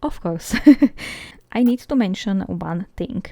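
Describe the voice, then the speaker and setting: Chatty woman, mellow voice. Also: mid-range voice, slow pace, gravelly, no echo, clean studio sound. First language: Slovak. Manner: chatty, giggly, frequent light laughs. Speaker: journaling YouTuber. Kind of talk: voice-over narration of a craft video.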